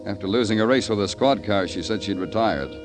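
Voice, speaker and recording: An actor playing a world-weary city police detective in 1950s radio noir style, terse, wry and brooding. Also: male voice, on the low side, fairly quick, natural voice. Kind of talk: 1950s radio noir acting, hardboiled narration. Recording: old radio recording with music.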